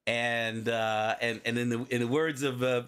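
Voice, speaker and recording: A man hosting a live community briefing over a video call, opening the show in a friendly, measured way with a few fillers. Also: mid-range voice, fairly even intonation, fairly bright tone, smooth. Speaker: host.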